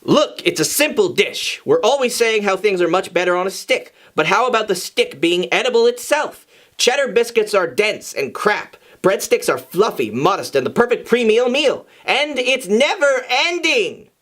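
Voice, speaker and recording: A sassy teenage boy debater played by a man reading a story with a high voice. He is stubborn and indignant, pushing each point with animated passion. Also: normal speed. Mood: insistent. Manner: indignant, insistent.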